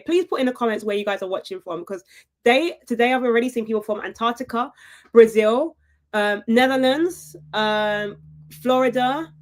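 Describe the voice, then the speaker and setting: A woman hosting a live property webinar, chatty and upbeat, often checking in with her viewers with a quick "right?". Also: a little high. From United Kingdom. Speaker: property investment webinar host.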